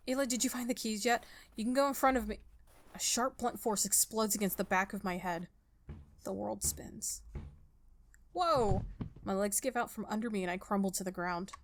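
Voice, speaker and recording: A woman hosting a game playthrough, reading a visual novel aloud and thinking out loud in an informal, expressive way. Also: medium pitch. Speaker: gaming video host. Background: music.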